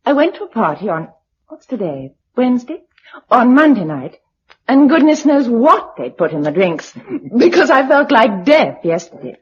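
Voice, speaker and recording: A woman's voice: a little high, sing-song, moderate pace, slightly rough. An actress playing a shaken, well-bred young Englishwoman in a radio drama, with an English accent and a genteel, hesitant, emotional manner. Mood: anxious recounting. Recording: dull lo-fi radio drama recording.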